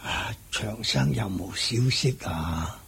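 A man with a Hong Kong Cantonese accent playing a grave, forgiving captured old king in a radio drama, solemn and drawn-out. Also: on the low side, lively intonation, vocal fry, fairly bright tone, breathy edge, full character voice. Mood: weary, resigned.

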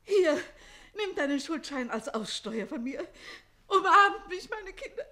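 High, swooping, sentimental mother. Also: very animated, a little slow, sharp tone, full character voice. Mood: tender, effusive. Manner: effusive, melodramatic. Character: sentimental, effusive old maid discovering she's a mother. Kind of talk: German stage comedy radio play acting.

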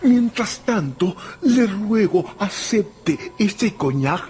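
A fawning hotel manager from a radio play. He is servile and ceremonious, with animated, swooping intonation and clear background noise. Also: high voice, extremely slow, full character voice. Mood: eager, servile. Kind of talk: radio drama acting.

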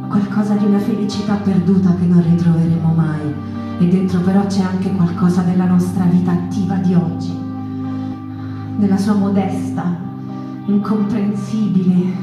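An actress playing a wistful, poetic narrator in a literary stage monologue, measured and deliberate with pauses. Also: female voice, mid-range voice, lightly acted. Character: wistful, poetic female narrator of the mouse folk. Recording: very echoey theatre with music underneath.

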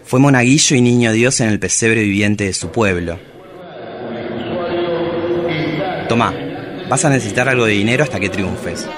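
A bawdy travesti poet acted by a man speaks in a low Argentine voice, theatrical and provocative yet tender. It is a radio drama diary, with a crowd audible behind the voice. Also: fairly quick, close to an everyday voice. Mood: teasing, seductive. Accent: Argentine Spanish. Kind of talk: radio drama monologue and dialogue.

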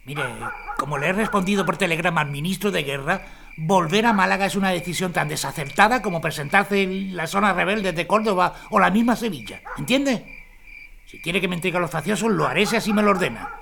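An actor playing a defiant, embittered army colonel in a historical audio drama, formal and insistent. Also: male voice, sits high, fairly quick, creaky, bright, forceful tone, full character voice. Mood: insistent, exasperated. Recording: noisy background.

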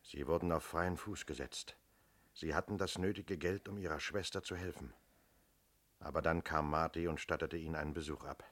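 An actor playing a shrewd, self-assured police inspector in a radio crime drama, speaking firmly and methodically. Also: male voice, very deep voice, steady tone, normal speed, fairly bright tone. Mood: probing, firm. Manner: methodical, firm, explanatory.

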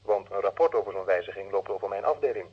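An actor playing a cautious, obliging official in a radio play, husky and officiously polite, on a muffled old recording. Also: male voice, low-pitched, fast talker.

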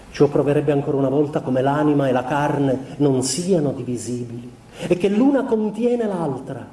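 Melancholy young husband, fairly high mellow voice, measured confessional delivery, literary radio monologue, noisy background. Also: male voice. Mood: sorrowful confession. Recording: roomy, distant stage recording with background noise.

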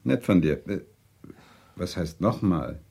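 Husky university lecturer for radio drama: lowish pitch, dry, quietly caring. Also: male voice, expressive, takes it slowly, heavily acted. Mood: surprised, dry.

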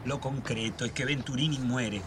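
A man's voice: mid-range voice, normal speed, a bit bright, a little hiss or hum, natural voice. An actor playing an agitated, suspicious man who sees conspiracies in his neighborhood, in a radio drama. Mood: conspiratorial.

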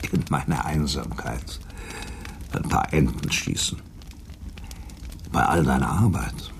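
Weary industrialist, bottom-low gravelly voice, insistent, deliberate, radio play. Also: male voice, a little hiss or hum, full character voice. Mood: cordial, wistful. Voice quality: gravelly, husky. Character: aggrieved industrial director, old friend, wearily reasoning. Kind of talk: German radio play acting.

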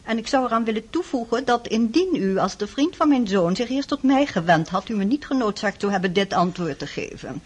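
A woman's voice: fairly high pitch, lively intonation, a little fast, hardly any pauses, husky. A woman playing a middle-aged countess and mother in a radio drama, curt, scolding and formal. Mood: coldly formal. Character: brisk, dismissive aristocratic mother, a countess.

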